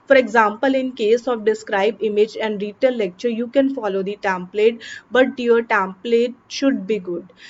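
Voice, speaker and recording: A woman exam coach with an Indian English accent and a fairly high voice gives a teaching lesson. She blends Hindi with English and slows down on the English key points. The recording sounds dull and lo-fi, with a faint hiss behind her. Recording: dull lo-fi with faint background noise.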